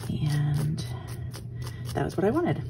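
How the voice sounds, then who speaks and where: A woman with a hoarse morning voice, chatting casually as she walks through a craft tutorial at her desk, often trailing off into soft murmurs. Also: low voice, big pitch swings, slow, music in the background. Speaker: art journaling instructor. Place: home craft desk, indoors.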